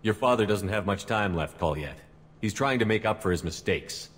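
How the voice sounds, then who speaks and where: Man as a low-voiced, commanding military hero. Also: normal speed, in a large hall, natural voice. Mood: earnest. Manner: calm, firm, plain-spoken. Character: steady, commanding human special agent hero. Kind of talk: video game voice acting.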